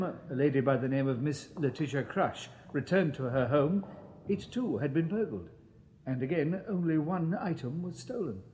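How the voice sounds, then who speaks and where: An actor playing a pompous, snobbish Victorian police inspector in a radio drama, with an upper-class English accent and formal, condescending delivery. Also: male voice, fairly high pitch, darkish tone, a little hiss or hum, lightly acted, poor audio. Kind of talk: 1980s British radio drama acting.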